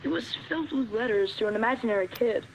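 A woman's voice: a little high, fast, very noisy, close to an everyday voice. An actress playing an earnest, hesitant caller to a radio show in a game's radio drama, telling her story tentatively and plainly. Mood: earnest, reflective. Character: earnest, hesitant female caller sharing a family secret. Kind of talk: radio drama acting in a game. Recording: music playing behind the voice.

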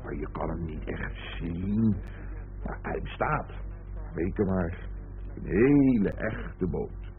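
A man's voice: deep voice, expressive, slow pace, full character voice. An actor in a Dutch radio play as a dreamy, teasing man who rambles playfully and philosophizes, on a muffled old recording. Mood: playful rambling. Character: dreamy, teasing idler musing about an unfinished boat.

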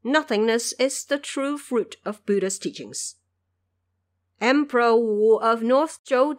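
A woman's voice: medium pitch, fairly bright tone. Learned, deferential court adviser female performer, reverent formal delivery for audio drama. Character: deferential pair of male ministers giving learned counsel.

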